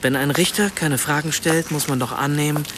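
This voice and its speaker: An actor playing an earnest, self-doubting writer in a radio play, hesitant and searching for words. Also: male voice, fairly high pitch, fairly quick, background noise, lightly acted. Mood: earnest, urging. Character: earnest, self-doubting German male writer, apologetic and probing. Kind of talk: naturalistic radio play dialogue.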